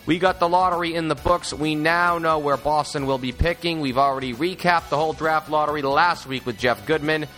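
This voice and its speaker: A man's voice: fairly high pitch, steady tone, fairly quick, few pauses, a bit bright, soft background music. A man hosting a sports podcast, with an emphatic, salesy radio-style delivery. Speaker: podcast host.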